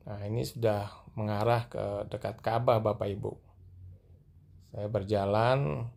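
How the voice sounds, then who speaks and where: A middle-aged man narrating a walking tour through a large mosque and onto its rooftop at night, gently addressing his viewers as he films. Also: fairly low pitch, steady tone, unhurried, gravelly. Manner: gentle, repeatedly addressing viewers as sir and madam. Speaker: vlogger guiding viewers. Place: large mosque, indoor escalators then open rooftop at night.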